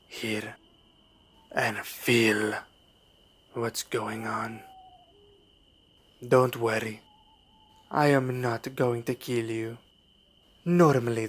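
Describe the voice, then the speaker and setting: A man playing a suave, sinister vampire in an ASMR roleplay, speaking formally and courteously with quiet menace. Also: medium pitch, slightly rough. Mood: coolly menacing. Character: suave, sinister male vampire luring a traveler. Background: night forest ambience.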